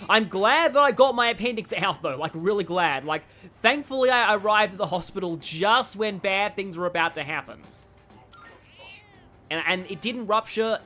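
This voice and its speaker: A game streamer talks casually on a livestream, rambling and reacting out loud to what happens in play. The voice sits at a middling pitch, with game music playing faintly behind it. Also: animated, moderate pace.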